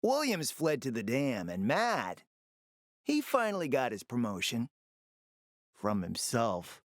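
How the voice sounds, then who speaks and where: Sly smooth-talking spymaster for a game, theatrical and urbane, pitch moves around a lot, dry recording. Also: male voice, wildly swooping pitch, slow, no room sound. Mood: grave, conspiratorial. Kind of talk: video game voice acting.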